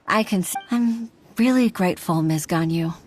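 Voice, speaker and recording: A woman's voice: on the low side, normal speed, a little hiss or hum, close to an everyday voice. Earnest young woman official voiced by an actress. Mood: grateful. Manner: polite, effusive, hesitant. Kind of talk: video game voice acting. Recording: music behind the voice.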